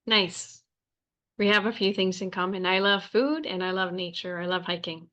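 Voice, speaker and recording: A woman's voice: mid-range voice, moderate pace, a bit bright, muffled recording. A woman hosting an interview, agreeable and chatty with her guest. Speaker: interview host. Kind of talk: interview conversation.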